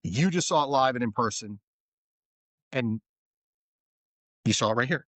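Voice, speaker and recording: A middle-pitched man hosts a casual podcast chat with an upbeat, complimentary manner. His voice is recorded close and dry, with no room sound. He asks questions and riffs warmly on the guest's answers. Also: animated, unhurried, no room sound. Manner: friendly, enthusiastic, complimentary. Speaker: podcast host.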